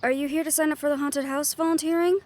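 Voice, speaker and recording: An actress playing a friendly, brisk woman medium who runs a haunted house, chatty and matter-of-fact in an audio roleplay. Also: sits high, steady tone, a little mellow, close to an everyday voice.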